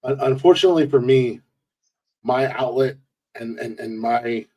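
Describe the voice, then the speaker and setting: A man's voice: medium pitch, moderate pace, mellow. Rambling man in his forties. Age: 40s. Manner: rambling, restarts often, laughs at own jokes. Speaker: podcast host. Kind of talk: casual podcast chat.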